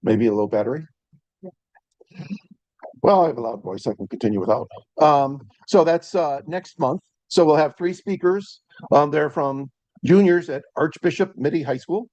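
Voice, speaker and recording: A man hosting a tech meetup, announcing upcoming events and introducing the evening's speaker in a relaxed, chatty way with frequent ums, speaking into a handheld microphone in a meeting room. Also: on the high side, moderate pace, dry recording, lo-fi sound. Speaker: meetup host.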